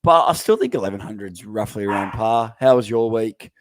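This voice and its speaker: A man co-hosting a casual sports podcast, talking in a relaxed, rambling way with many fillers. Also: lowish voice, fairly quick, a little hiss or hum. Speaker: podcast co-host.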